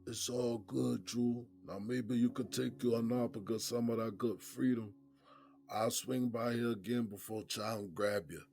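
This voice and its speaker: An American man playing a streetwise, seasoned inmate in an audio drama, with a husky voice and folksy, slangy talk. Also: medium pitch, fairly even intonation, a little slow, a little mellow. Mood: easygoing, friendly. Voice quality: husky, gravelly. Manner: folksy street slang, probing rhetorical questions. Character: streetwise, seasoned male prison inmate mentoring a younger friend.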